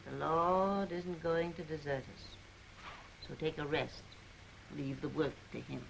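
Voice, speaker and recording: An actress playing a calm, loving elderly mother in 1930s film style. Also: sits low, measured pace, vocal fry, low-quality recording. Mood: soothing. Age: older. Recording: 1930s film soundtrack with faint noise.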